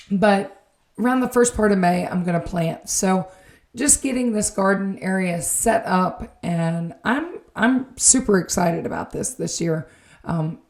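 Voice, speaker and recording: A woman narrating a home garden vlog late at night, slightly raspy and tired, rambling with many ums and self-corrections. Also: medium pitch, darkish tone, echoey room. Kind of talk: late-night voiceover, casual chat. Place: indoor room at home, voiceover.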